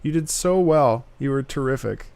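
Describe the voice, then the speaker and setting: A man's voice: mid-range voice, animated, normal speed, creaky, a little mellow, clear, resonant tone, no room sound. A man hosting a livestream, giving casual, enthusiastic and effusive live commentary as he reacts to game music.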